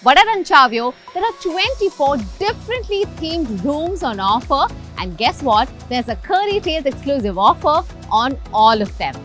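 A woman's voice: high-pitched, very animated, moderate pace, few pauses, a bit bright. A woman in her thirties with an Indian accent, chatty and enthusiastic, hosting an upbeat promotional travel vlog. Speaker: travel show host. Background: background music.

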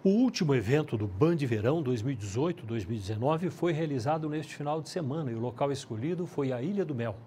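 A middle-aged man anchoring a regional TV newscast in a measured, formal manner. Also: mid-range voice, lively intonation, warm tone, a little hiss or hum. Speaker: news anchor. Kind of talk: TV news anchoring. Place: TV news studio.

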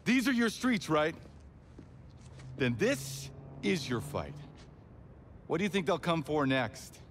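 An actor playing an intense, scheming, persuasive casino owner in a video game. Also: male voice, a little high, sing-song, takes it slowly, slight background noise.

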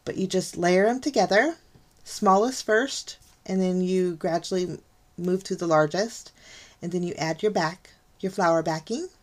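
A woman's voice: fairly low pitch, a little slow. A middle-aged woman hosting a card-making tutorial, talking chattily through each step with lots of ums and gushing over how pretty things look. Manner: chatty, gushing, frequent ums and light laughs. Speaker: card-making channel host. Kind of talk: casual crafting tutorial voice-over. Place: craft desk, overhead camera on hands.

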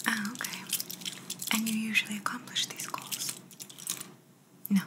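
Slow, whispering young woman, soft ASMR. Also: fairly high pitch, little pitch movement. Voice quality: breathy, soft, often whispered. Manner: gentle, unhurried, politely clinical. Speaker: ASMR roleplayer. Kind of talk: soft-spoken ASMR roleplay as an alien medical examiner.

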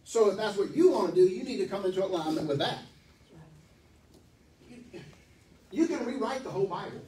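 A man in his forties preaching a sermon as pastor, emphatic and animated, speaking into a handheld mic in a church hall. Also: high-pitched, fairly quick, a little hiss or hum. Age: 40s. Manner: animated, emphatic, pacing the stage. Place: church hall, medium-sized, indoors. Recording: echoey hall, from far off.

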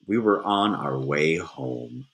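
A man reading a children's story aloud in an expressive, dramatic narrating style. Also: deep voice, slow pace, creaky. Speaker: storybook reader.

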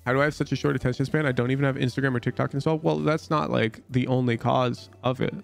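A man streaming live, chatting casually with his viewers while reviewing gameplay, in an animated way that jumps to loud exclamations. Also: mid-range voice, fast talker, gravelly, soft background music.

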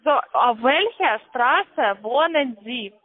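High-voiced pushy woman on phone line. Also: expressive, dry recording. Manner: pushy, repetitive, halting non-native phrasing. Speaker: call centre scammer. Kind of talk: insistent phone call. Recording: tinny narrowband phone line.

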